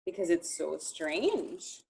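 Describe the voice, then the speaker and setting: A woman reading a picture book aloud to young children in an expressive, encouraging way, talking directly to them. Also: on the low side, slow, vocal fry, background noise.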